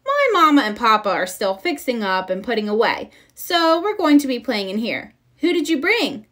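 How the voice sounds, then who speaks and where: A woman's voice: a little high, moderate pace. A woman voicing a bossy, boastful six-year-old girl bear cub in a children's storybook. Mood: bubbly, bossy. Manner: excitable, braggy, commanding. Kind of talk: children's storybook read-aloud.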